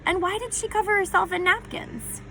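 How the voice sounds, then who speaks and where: A woman voicing an excitable, quirky young boy in a storybook reading. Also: very high-pitched, moderate pace, voice pushed up, heavily acted, rough recording. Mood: curious.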